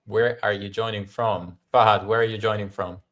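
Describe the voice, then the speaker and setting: A man's voice: lowish voice, steady tone, moderate pace, fairly bright tone. An Italian man from Milan co-hosting a live stream, chatting in an upbeat, welcoming way. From Italy. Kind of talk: casual live-stream chat. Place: home in Bali, at night.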